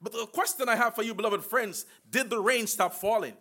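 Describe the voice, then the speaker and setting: A man preaching a sermon with emphatic call-and-response and slow, drawn-out phrases. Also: high-pitched, sharp tone.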